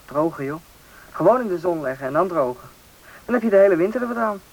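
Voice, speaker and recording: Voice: mid-range voice, lively intonation, fast, a little mellow. A performer playing a bossy, knowing country boy, confident and impatient, in a radio drama. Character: bossy, knowing boy who grew up in the taiga. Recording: noisy old radio play recording.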